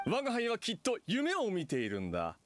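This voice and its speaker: An actor playing a pompous, clueless swindler's ghost in an anime game, theatrical and full of himself. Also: male voice, high voice, heavily acted. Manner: pompous, theatrical, self-satisfied. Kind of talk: Japanese anime game voice acting.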